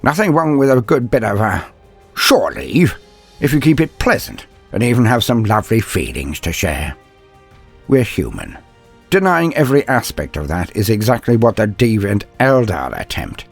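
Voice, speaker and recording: A man playing a gruff, blunt older male inquisitor and worldly mentor in an audio story, hemming and teasing in a fatherly way. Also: on the low side, big pitch swings, normal speed, faint music behind, heavily acted. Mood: stern, philosophical. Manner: hesitant euphemisms, throat-clearing, teasing bluntness.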